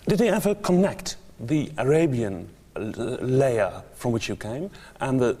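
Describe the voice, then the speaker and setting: Male TV interviewer, mellow mid-pitched voice, hesitant probing questions, long room reverb. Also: expressive, unhurried, slightly rough. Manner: prompts and short interjections, some hesitation. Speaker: interviewer, TV host.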